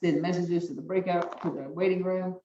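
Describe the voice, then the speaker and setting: A woman judge with a deep voice presiding over virtual hearings, giving firm, brisk, repeated procedural instructions over a video call. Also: fairly even intonation, heavy background noise. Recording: echoey, distant, dull video-call audio.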